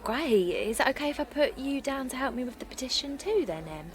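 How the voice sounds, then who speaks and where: An actress playing a young mother who tells her story in a confiding, rambling way, with soft hushed asides. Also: high voice, very animated, a little fast, slight background noise. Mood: flustered. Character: chatty, self-effacing young mum. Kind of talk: audio drama monologue.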